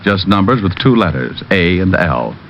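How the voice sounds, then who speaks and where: A man's voice: low voice, very animated, normal speed, fairly bright tone, slight background noise, exaggerated voice. An American actor playing a witty, wry private detective in an old-time radio drama, narrating his own case. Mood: wry narration. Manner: wry, sardonic. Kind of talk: 1940s-50s radio detective acting. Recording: dull old radio recording, background music.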